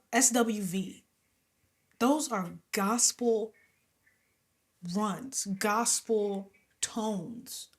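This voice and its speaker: A young adult woman singer, a guest in an interview, talking casually and with animation, laughing often and sometimes dropping to a whisper. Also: mid-range voice.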